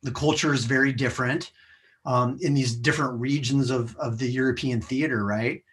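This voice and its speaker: A man's voice: mid-range voice, fairly even intonation, normal speed, a bit bright. An American man in a podcast interview, talking conversationally as a sales professional. He explains things step by step with a mid-range voice and small checking phrases. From United States.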